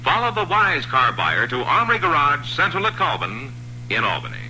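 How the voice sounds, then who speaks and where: A man's voice: on the high side, wildly swooping pitch, a little fast, bright, forceful tone, slight background noise, rough recording. A man reading a scripted radio commercial for a used car dealer, with a punchy salesman delivery that stresses each point.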